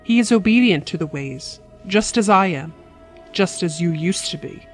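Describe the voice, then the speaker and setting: A woman's voice: fairly low pitch, animated, moderate pace, faint music behind, close to an everyday voice. An actress playing a stern, coldly resolute wife and mother in an audio drama, firm and commanding.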